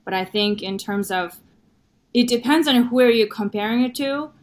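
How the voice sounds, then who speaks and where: A young woman from Mongolia, whose first language is Mongolian, chatting as a podcast guest in an animated way full of "you know". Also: fairly high pitch, moderate pace. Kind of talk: conversational interview.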